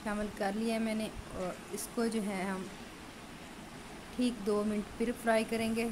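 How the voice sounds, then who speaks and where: A woman's voice: on the high side, level tone, a little slow. A woman, explaining each step chattily as she cooks at home. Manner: chatty, explains steps while cooking. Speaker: home cook. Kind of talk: cooking tutorial narration. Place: home kitchen at a stove. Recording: noisy home recording.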